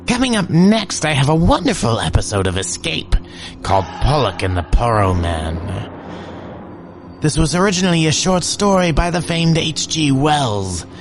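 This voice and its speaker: A man hosting a show of old radio dramas, introducing an episode in a theatrical, teasing way. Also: lowish voice. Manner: theatrical, teasing, sing-song delivery. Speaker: podcast host presenting old radio shows. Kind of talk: spooky playful show introduction. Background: music bed.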